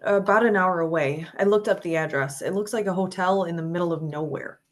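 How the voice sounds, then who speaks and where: A woman's voice: fairly low pitch, fairly quick, lightly acted. Woman acting a practical, matter-of-fact companion. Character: practical female car passenger on a tense search. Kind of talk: screenplay table read.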